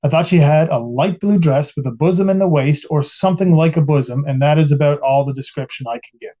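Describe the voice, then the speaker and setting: A man's voice: a little high, a little fast, mellow, muffled recording, lightly acted. A man playing a careful police captain giving clipped, hedging testimony in a courtroom transcript reenactment. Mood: guarded, matter-of-fact. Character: police captain testifying as witness at 1890s trial, terse, careful.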